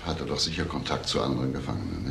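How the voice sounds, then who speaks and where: An actor playing a shrewd senior police inspector in a crime drama, probing and insistent. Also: male voice, very deep voice, lively intonation, fast, creaky, a bit bright, full character voice. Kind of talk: German TV crime drama acting. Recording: faint background noise.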